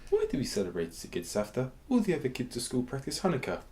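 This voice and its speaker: A man's voice: medium pitch, wildly swooping pitch, fast talker, darkish tone. A man acting in a radio drama as a curious young grandson, inquisitive and admiring.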